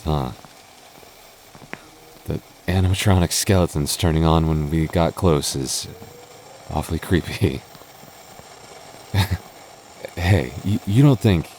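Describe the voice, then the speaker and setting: A man's voice: rumbling low, a little mellow, slight background noise. A man playing an anxious, protective goth boyfriend in an audio roleplay, stammering and wry as he talks closely to his partner. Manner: stammering, halting, nervous, wry.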